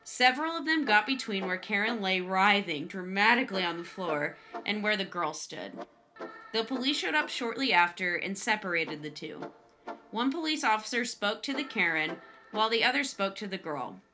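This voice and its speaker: Woman reading online stories and commenting, normal pitch, music going behind her. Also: animated, moderate pace, pushed and bright. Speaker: narrator and host. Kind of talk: scripted story narration with commentary. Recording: music under the voice.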